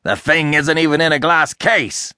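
A man's voice: medium pitch, animated, fast, fairly bright tone, no echo, studio quality. A man reading a storybook, voicing an irascible, sarcastic, blustering older rich American man who hectors explosively. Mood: indignant. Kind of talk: audiobook character acting.

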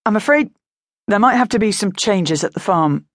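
A woman reads as a middle-aged farmer dad in a children's story. He is gentle and hesitant, putting a positive face on his worries, with animated pitch. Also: normal speed, dry recording, studio quality, close to an everyday voice. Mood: worried. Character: kindly middle-aged farmer father, worried but trying to sound positive.

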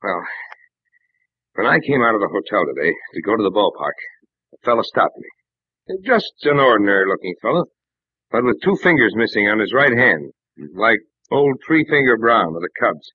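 An actor playing a troubled, sheepish American star ballplayer who talks hesitantly and evasively in an old-time radio drama. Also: male voice, fairly low pitch, lively intonation, normal speed, breathy edge, dry recording. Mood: troubled, confiding. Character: sheepish, troubled big-hearted star baseball slugger. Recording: dry, dull old radio recording.

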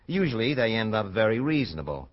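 An actor playing a hard-boiled, wisecracking American detective, laconic and dry, in dialogue and noir narration for a radio drama. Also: male voice, fairly low pitch, big pitch swings, fast, highs cut off. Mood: dry, probing.

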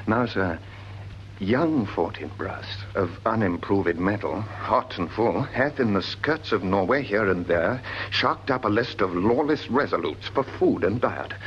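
A grave scholar in a classical radio play, speaking in a low, declamatory voice. He is learned and stately, shaping verse with measured rhetoric. The recording is dull and old, with some noise. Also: expressive, fairly bright tone. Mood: grave, explanatory. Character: learned, grave gentleman scholar confronting dread omens. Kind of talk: classical Shakespearean radio drama acting. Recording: dull, noisy vintage drama recording.

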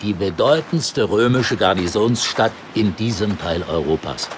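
An actor playing a sober, loyal missionary companion in a biblical audio drama, with a dry, grave, deliberate delivery. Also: male voice, on the low side, animated, background noise.

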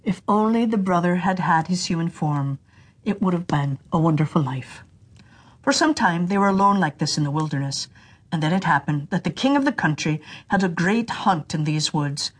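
A woman narrating a fairy tale for children in an expressive, deliberate storytelling manner. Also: lowish voice, husky.

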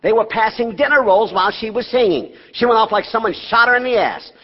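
Blustering high-pitched casino boss. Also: male voice, animated, fast. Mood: exasperated, scornful. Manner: brusque, barking, profane. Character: blustering, crude, bigoted male casino boss. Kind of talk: theatrical audio drama acting. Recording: dull, reverberant stage recording.

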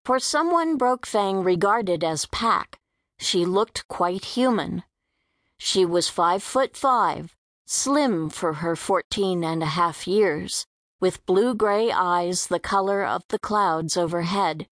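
A woman reading a scripted story as an audiobook narrator, in a measured, careful manner. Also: on the low side, animated, fairly bright tone, dry recording, clean studio sound.

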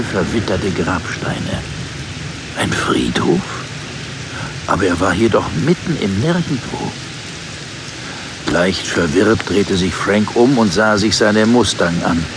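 A man's voice: lowish voice, noisy background. A man giving dramatic scripted narration of a German horror audio drama. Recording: loud rain and thunder behind. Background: rainstorm with thunder.

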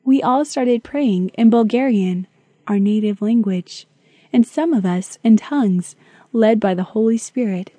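A woman reads a memoir aloud in a calm, measured way, her voice a little high, mellow and soft-edged. There is a slight breathy huskiness to her tone. The studio sound is dry and close. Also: no echo. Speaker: audiobook narrator.

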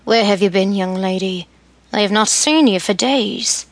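A woman voicing Charles, a stern, teasing young nobleman, in a slightly lowered, firm voice while reading a story. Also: unhurried, no echo, close to an everyday voice.

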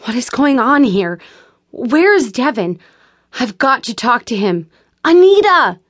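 A woman reading an audiobook gives voice to a frantic, fearful young woman, pitching her speech up and pleading urgently. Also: normal speed, natural voice.

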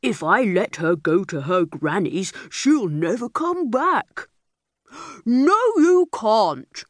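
Storybook villain: huge muscly bear, female narrator, slow, gruff, greedy and bossy, very animated delivery. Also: medium pitch, dry recording, clean studio sound. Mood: suspicious, scheming. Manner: gruff, domineering, drawn-out.

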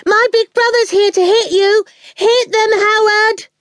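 A woman voicing a bratty, demanding eight-year-old girl in a story, piercing, loud and whiny. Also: sing-song, slow pace, no room sound, clean studio sound, exaggerated voice. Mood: bossy. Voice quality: piercing, shrill.

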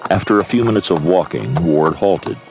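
A man's voice: low-pitched, animated, normal speed. A man reading scripted dramatic narration for a western audio drama.

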